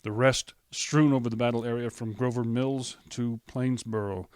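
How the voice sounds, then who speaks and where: An actor playing a grave, shaken radio news announcer, solemn and halting as he reads emergency bulletins in 1930s radio style. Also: medium pitch, fairly even intonation, a little mellow, clear, resonant tone, no echo, clean studio sound, close to an everyday voice.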